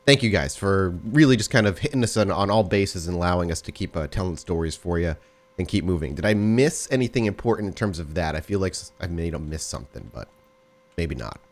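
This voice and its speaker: A man's voice: low-pitched, animated, fairly quick. A man who hosts and narrates a tabletop role-play podcast as its storyteller. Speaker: storyteller and host. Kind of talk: tabletop game narration and casual podcast chat.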